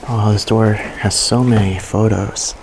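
A young man with a low, even voice talks straight to his handheld camera as he walks through a big abandoned house. He sounds hushed and amazed, reacting to each room. A faint hiss sits under the recording. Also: unhurried. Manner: hushed and awed, reacting to what he finds. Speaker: urban explorer vlogger. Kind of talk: casual walkthrough narration to camera. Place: inside a large abandoned mansion.

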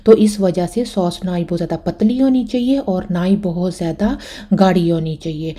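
A woman with a Pakistani Urdu accent talks through a recipe in a chatty, step-by-step voice-over, tagging her sentences with little asides. Her voice sits low and sounds very dark and mellow. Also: normal speed. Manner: chatty, step-by-step explaining with frequent "na" tags. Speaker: cooking channel host.

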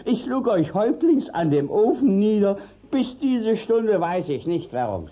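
Brief: blustering, evasive country judge, drawn-out delivery, dull vintage radio-play sound. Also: male voice, high voice, very animated. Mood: flustered, evasive. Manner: hesitant, evasive, stammering with fillers. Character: shifty, blustering village judge covering up his misdeeds. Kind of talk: classic German radio play acting. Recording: dull old 1950s radio recording.

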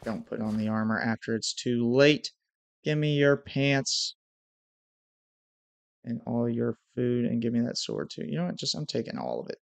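A man's voice: mid-range voice, very animated, moderate pace, gravelly, no echo. A young man with a stuffy nose giving casual commentary on his gameplay over a headset mic in a small room at home. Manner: hyped intro, then muttered play-by-play. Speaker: gaming YouTuber.

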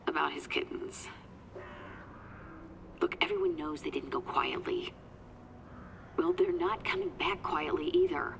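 Low, very creaky psychic. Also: female voice, wildly swooping pitch, a little slow, pauses often, noisy background, heavily acted. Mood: uneasy, confiding. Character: wry small-town woman psychic, uneasy and secretive. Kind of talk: video game dialogue.

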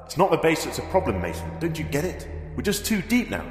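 A man's voice: fairly high pitch, sing-song, fast, reverberant, long reverb tail, soft background music. A man playing a brusque, commanding resistance fighter in an audio drama. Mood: tense. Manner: terse, commanding. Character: brusque male resistance fighter planting a bomb.

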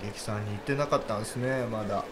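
An actor playing a polite, hapless young junior actor, hesitant and colloquial, in a naturalistic radio drama. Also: male voice, fairly low pitch, fast, background noise, close to an everyday voice. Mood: hushed concern.

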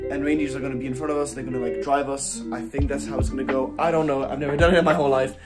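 A man's voice: mid-range voice, flat delivery, rapid-fire. A young man vlogging to a phone held at arm's length, chatty and upbeat with loose filler phrasing. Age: young adult. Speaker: travel vlogger. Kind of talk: casual vlog talk to camera. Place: outdoors in snow and in a hotel room. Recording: loud background music.

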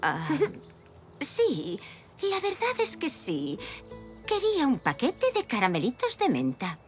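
A woman's voice: fairly high pitch, wildly swooping pitch, takes it slowly, soft background music, heavily acted. A woman voicing a polite, curious lady zebra customer in a children's cartoon.

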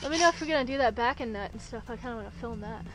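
A woman's voice: a little high, racing, noisy background. A young woman vlogging casually outdoors at a boatyard, filmed on a handheld action camera. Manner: relaxed, easygoing chatter. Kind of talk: casual vlog chat.

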